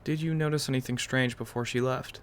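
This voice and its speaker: Casting: male gaming host, medium pitch, casual roleplay commentary over faint game ambience. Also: fairly even intonation, fairly quick, a little hiss or hum. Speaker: gaming video host and player.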